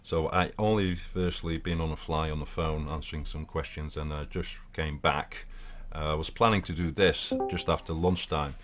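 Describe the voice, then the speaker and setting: Portuguese-accented male host, deep voice, loose and rambling with lots of restarts. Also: steady tone, normal speed, few pauses, fairly bright tone, faint music behind. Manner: rambling, many fillers and restarts. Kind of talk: casual live talk.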